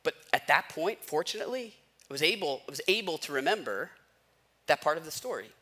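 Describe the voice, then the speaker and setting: An American man in his thirties speaks as a pastor in a casual, conversational style. His voice sits a little high for a man and is very bright and forceful. He uses everyday slang and puts questions to his listeners as he tells personal stories. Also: animated, a little fast. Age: 30s. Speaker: pastor preaching.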